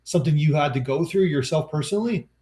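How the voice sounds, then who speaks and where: A man's voice: on the high side, fast talker, mellow. A man hosting a festival interview over a video call, asking long, rambling questions that he corrects as he goes. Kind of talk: casual interview chat. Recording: echoey room.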